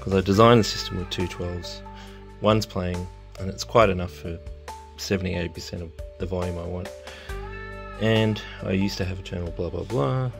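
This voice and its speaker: A man's voice: sits low, normal speed, creaky, over music. A man giving casual, unscripted vlog commentary in a rambling, understated way, recorded on a handheld phone in and around a car. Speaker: car audio hobbyist vlogger.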